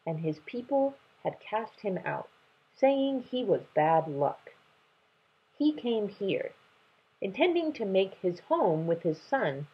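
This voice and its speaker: A woman plays a kindly mother lion in a storybook. She talks slowly and with lively pitch, motherly, a little husky and gently firm. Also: highs cut off, full character voice. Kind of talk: children's storybook read-aloud.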